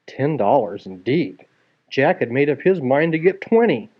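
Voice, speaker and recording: A man's voice: mid-range voice, big pitch swings, normal speed. A man reading a story aloud to a child, expressively, with casual asides. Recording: dull lo-fi home recording.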